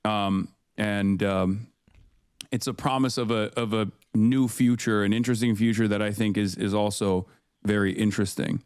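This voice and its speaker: A man's voice: lowish voice, steady tone, normal speed, darkish tone, clean tone, no room sound, studio quality. A man in his thirties from Turkey, talking loosely in a podcast conversation with many fillers and restarts. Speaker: political commentator, guest.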